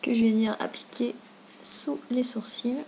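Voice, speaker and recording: A woman's voice: a little high, level tone, normal speed, a little mellow, poor audio. A woman giving a casual step-by-step makeup tutorial, talking informally as she works. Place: indoors at home. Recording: noticeable background noise, amateur home video.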